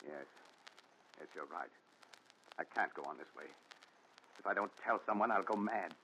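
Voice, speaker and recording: An actor playing a frightened, agitated young fiancé in a 1940s radio thriller style. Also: male voice, low-pitched, moderate pace, pushed and bright. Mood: haunted, resigned. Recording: muffled noisy 1940s radio recording, clicks.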